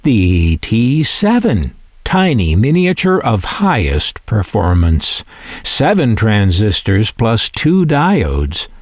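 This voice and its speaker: A man presenting and reading catalog copy aloud as scripted narration, in a deliberate, itemized way. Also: lowish voice, wildly swooping pitch, no room sound, clean studio sound.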